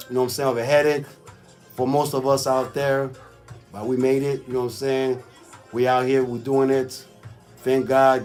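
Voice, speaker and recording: A man with a raspy voice hosting a casual, improvised community radio show in an exclamatory hype style, repeating catchphrases. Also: medium pitch. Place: radio studio.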